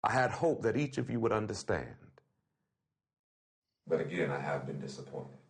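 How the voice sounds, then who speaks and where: Low-voiced man reading formally. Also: very animated, normal speed, gravelly. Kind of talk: reading an open letter aloud.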